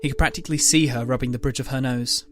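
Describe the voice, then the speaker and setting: A man's voice: medium pitch, steady tone, fast, music in the background. A man narrating a scripted dramatic story as the narrator of an audio drama.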